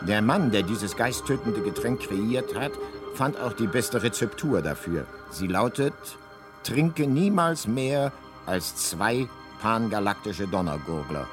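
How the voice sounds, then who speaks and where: Low-voiced deadpan narrator over music. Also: male voice. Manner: deadpan, measured. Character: talking guidebook narrator, male, urbane, deadpan. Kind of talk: scripted radio play narration.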